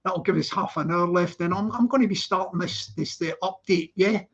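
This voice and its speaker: A man's voice: high-pitched, brisk, breathy edge. A Scottish man hosting a casual live stream, chatting away to his viewers and greeting each one in a repetitive sing-song. His talk is loose and rambling, broken by easy laughter. The stream audio sounds slightly dull and lo-fi. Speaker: livestream host. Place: living in London.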